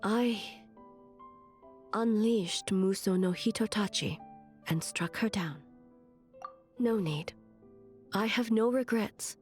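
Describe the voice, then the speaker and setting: Solemn, formal warrior goddess Ei played by an actress. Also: female voice, mid-range voice, faint music behind, lightly acted. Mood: grave, resolute. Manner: formal, measured, grave. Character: stoic, solemn female warrior ruler, ageless deity. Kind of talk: anime video game voice acting.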